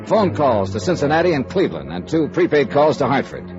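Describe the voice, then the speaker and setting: A man playing a tough, no-nonsense insurance investigator in a radio noir drama, brisk and clipped in delivery. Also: fairly high pitch, sing-song, music in the background. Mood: brisk, businesslike. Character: hard-boiled, no-nonsense male freelance insurance investigator. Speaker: lead actor and first-person narrator. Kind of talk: 1950s radio noir acting. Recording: dull, lo-fi vintage radio recording.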